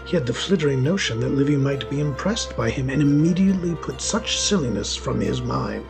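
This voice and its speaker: A man's voice: medium pitch, expressive, a little fast, creaky. Male story narrator over music.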